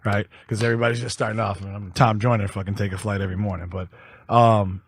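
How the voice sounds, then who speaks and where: A man's voice: lowish voice, level tone, quick pace, breathy edge, no room sound. A man from Detroit with an American accent, chatting casually as a podcast host in slangy, profane talk. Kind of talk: casual chat. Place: podcast studio.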